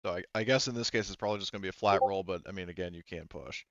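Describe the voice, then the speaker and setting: Low, smooth male game master, muffled audio. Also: very fast, a bit bright, no room sound. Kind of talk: casual tabletop game refereeing.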